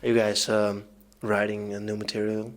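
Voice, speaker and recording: A man's voice: on the low side, monotone, normal speed. A man interviewing a musician, asking short questions and hesitating often with "uh" and "um".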